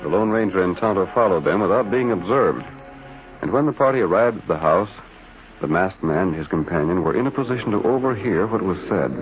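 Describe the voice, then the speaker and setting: A man's voice: low voice, expressive, brisk. A man narrating a western adventure in scripted 1940s radio drama style. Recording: muffled old radio broadcast. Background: music.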